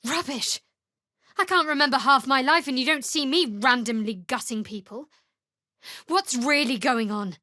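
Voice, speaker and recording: A woman playing a guarded, sardonic young female cleric of a dark goddess in a fantasy video game, with a cool, wry and measured delivery. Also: sits high, dry recording. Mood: sharp, defensive. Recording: dry, close studio voice.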